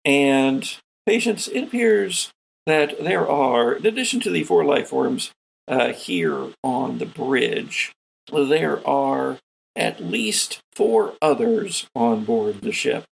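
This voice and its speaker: A man's voice: medium pitch, big pitch swings, takes it slowly, creaky, slight background noise, poor audio. A man narrating a tabletop game as its game master, dramatically setting each scene. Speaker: game master, podcast host.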